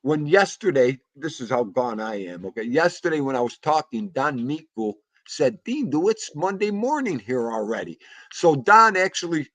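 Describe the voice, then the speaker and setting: A man's voice: a little high, very animated, normal speed, a bit bright, no room sound. Man, livestream host, chatty, laughs a lot, dry close sound. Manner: chatty, laughs often, greets viewers. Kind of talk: casual chat.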